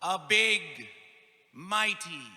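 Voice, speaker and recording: A man's voice: high voice, drawn-out words, pushed and bright. A man emceeing at a music festival, making grand sing-song proclamations to the crowd over a stage microphone. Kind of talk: theatrical stage announcing.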